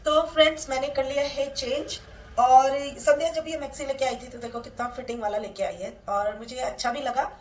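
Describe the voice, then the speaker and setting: A woman's voice: high voice, steady tone, moderate pace. Middle-aged woman vlogger with a sore throat and a cold, chatty and homely, recorded from a distance in an echoey room at home. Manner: chatty, homely, addresses viewers as friends. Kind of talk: casual home vlog talk to viewers. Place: at home, indoors. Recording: roomy sound from a distant mic.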